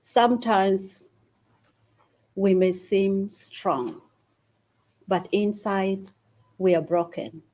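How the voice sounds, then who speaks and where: A woman from Uganda, the founder of a small charity, gives an earnest speech at an event and makes an appeal with long pauses. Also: medium pitch, a little mellow. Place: event hall at a university.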